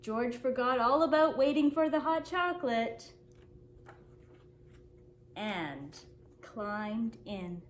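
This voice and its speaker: A woman reading a children's picture book aloud as a story-time host, in an expressive, deliberate storytelling manner for young children. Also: a little high. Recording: roomy echo, faint background noise.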